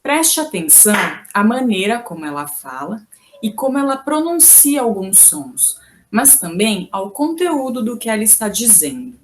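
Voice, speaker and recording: A woman's voice: medium pitch, big pitch swings, moderate pace, slight background noise. A woman from Brazil teaching a video lesson on language, explaining each point patiently and carefully. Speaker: Portuguese teacher.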